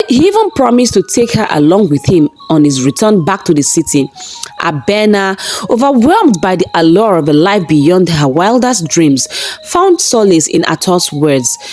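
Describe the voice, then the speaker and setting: A woman narrates a scripted dramatic folktale in a measured, expressive storytelling style. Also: lowish voice. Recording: narration over background music. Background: background music.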